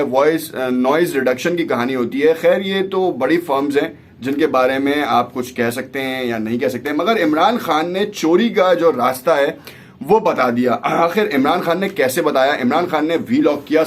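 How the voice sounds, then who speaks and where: Pakistani man giving informal news commentary. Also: fairly high pitch. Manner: conversational, mixes in English words. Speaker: host of a political news vlog.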